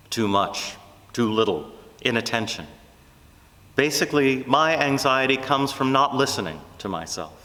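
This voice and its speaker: A man preaching a reflective, prayerful sermon, speaking earnestly in measured phrases with deliberate pauses. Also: mid-range voice, bright, forceful tone, big-room sound.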